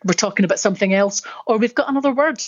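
A Scottish woman explains patiently as a guest on a radio interview, pausing on fillers and restarting sentences. Her voice sits at a middling pitch for a woman. The sound is a little muffled, as if over a remote line. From Scotland. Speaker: dictionary editor, guest.

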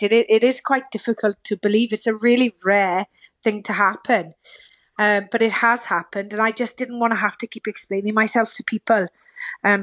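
A woman's voice: on the high side, a bit bright. Welsh-accented woman, chatty. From Lincolnshire, England. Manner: chatty, many ums, laughs easily. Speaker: radio interview guest. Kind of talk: casual phone-in chat.

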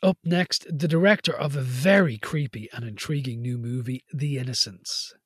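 A man's voice: medium pitch, moderate pace, slightly rough. A man hosting a radio film show, interviewing guests and presenting in an enthusiastic, chatty way from a studio. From Ireland. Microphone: studio mic.

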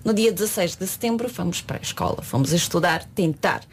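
A woman's voice: on the low side, fast talker, slight background noise. A talkative woman in her thirties from Ukraine, with a Ukrainian accent, chatting as a guest in a radio studio. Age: 30s. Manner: lively, talkative, laughs often. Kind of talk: radio interview chat.

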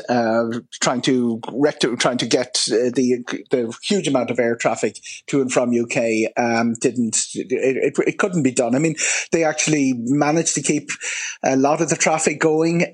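An Irish man speaking as a travel expert in a live radio interview, halting and often restarting his phrases. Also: medium pitch. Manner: halting, fragmented delivery with many false starts. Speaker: travel and aviation journalist, expert guest.

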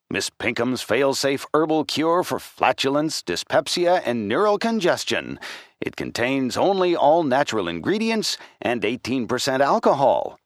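A man's voice: mid-range voice, dry recording. An actor playing a grandiose, formal male radio news anchor in a 1920s broadcast style. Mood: salesman-like. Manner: formal, showy announcer delivery. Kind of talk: old-time radio announcing, acted.

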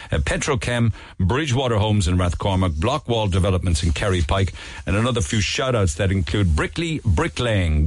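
A man with a Cork Irish accent hosting a radio show, reading listener shout-outs and teasing callers. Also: fairly low pitch, dry recording. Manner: brisk, jokey, teasing. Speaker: radio host. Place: radio studio.

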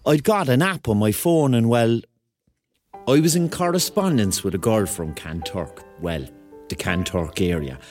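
A man's voice: mid-range voice, expressive, a little slow, a little mellow, soft background music, close to an everyday voice. An actor playing a hapless, self-mocking thirty-year-old man with a Cork accent, telling his story as a rambling, wry confession in a radio drama. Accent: Cork Irish.

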